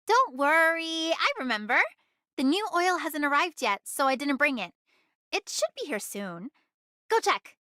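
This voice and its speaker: A woman voicing a bold, mischievous young girl in an anime video game, with a perky, expressive delivery. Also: sits high, measured pace, dry recording, clean studio sound, full character voice. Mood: confident, casual.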